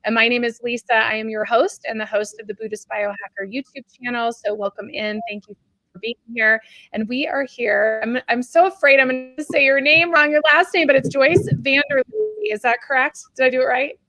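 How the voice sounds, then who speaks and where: A woman hosts a live online celebration over a video call, her voice pitched a little high and recorded close and dry. She is bubbly and effusive and often jumps higher and louder when she gets excited. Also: brisk, no room sound, rough recording. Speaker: host. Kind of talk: live online show hosting.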